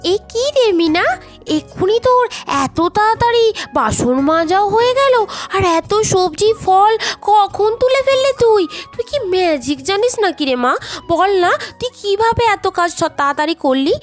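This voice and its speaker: A woman acts out a doting village mother in a cartoon folk tale. Her voice is very high, exclamatory and coaxing, over light background music. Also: lively intonation, moderate pace. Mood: amazed. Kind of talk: Bengali moral-story cartoon acting.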